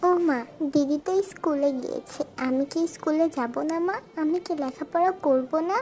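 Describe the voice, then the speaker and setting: A woman's voice: sits high, normal speed, background noise, natural voice. A woman voicing a young village girl in a cartoon moral story. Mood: meek. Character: young village girls in a fairy tale, stepdaughter and sister.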